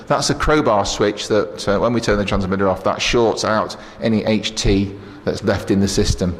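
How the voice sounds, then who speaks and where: A man giving a casual technical tour as an engineer, explaining equipment as he operates it in a plain-spoken, matter-of-fact way with dry asides. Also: lowish voice. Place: indoors in a transmitter hall. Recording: clear machinery and air-cooling noise.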